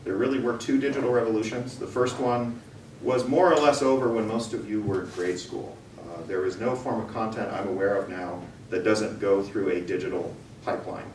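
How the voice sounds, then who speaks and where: A man's voice: fairly low pitch, fairly even intonation, normal speed, a little mellow. Middle-aged man, distant in an echoey room. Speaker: guest speaker, radio theater company owner. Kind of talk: informal talk to students. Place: room, talk to an audience of students. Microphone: distant from the speaker. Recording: roomy, distant mic with background noise.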